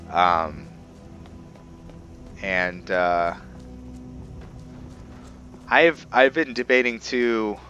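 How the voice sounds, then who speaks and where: A man hosting a roleplay playthrough with casual game commentary, halting as he thinks aloud. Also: sits low, lo-fi sound. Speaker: gameplay host, roleplayer. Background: soft background game music.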